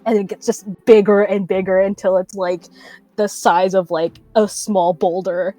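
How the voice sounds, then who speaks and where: A woman's voice: mid-range voice, level tone, normal speed, dark tone, no echo, soft background music. A woman casually improvising what her character does in a tabletop roleplaying game, in a giggly, informal way.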